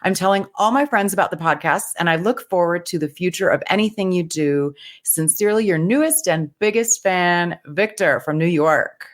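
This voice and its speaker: Woman, from the US, podcast co-host, low-ish voice, upbeat reading. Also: moderate pace, hardly any pauses. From United States. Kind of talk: casual podcast chat, reading listener email aloud.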